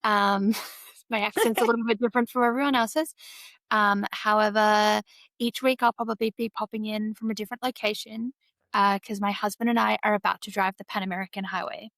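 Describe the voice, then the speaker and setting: A woman's voice: medium pitch, fairly even intonation, a little fast, fairly bright tone, no echo. A woman with an Australian accent, chatting casually on a podcast with many fillers and little giggles. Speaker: co-host. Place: travelling, on a video call from abroad.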